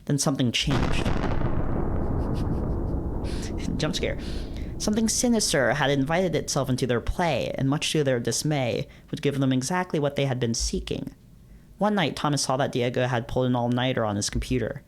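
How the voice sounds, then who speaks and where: A man's voice: medium pitch, expressive, moderate pace, slight background noise. A man reading stories aloud casually, playfully adding asides and stumbling over unfamiliar words. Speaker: video creator reading visual novels aloud. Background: music.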